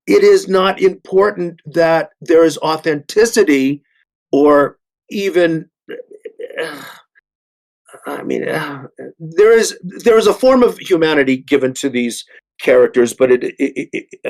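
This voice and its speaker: A man on a discussion panel, making his argument haltingly, repeating words and stressing the key ones. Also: a little high, creaky. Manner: halting and repetitive, yet emphatic on key words.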